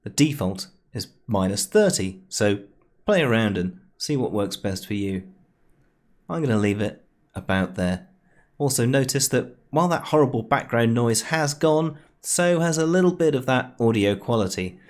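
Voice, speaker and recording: A man's voice: medium pitch, expressive, moderate pace. A man narrating an informal screencast tutorial in a conversational, explanatory way with wry understatement. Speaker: tutorial host. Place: home room, voice-over recorded near computer.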